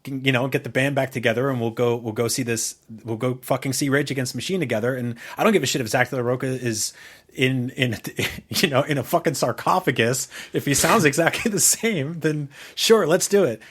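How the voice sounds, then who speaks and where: A man's voice: mid-range voice, brisk, a bit bright. Rambling, enthusiastic man chatting casually. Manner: rambling, enthusiastic, profane storytelling. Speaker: podcast co-host. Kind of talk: casual chat.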